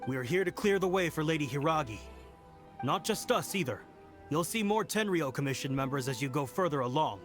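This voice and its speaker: Casting: a dutiful guard, formal and reassuring, a bit high-pitched. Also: male voice, animated, a little fast, fairly bright tone, soft background music. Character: dutiful male guard officer. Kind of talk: game voice acting.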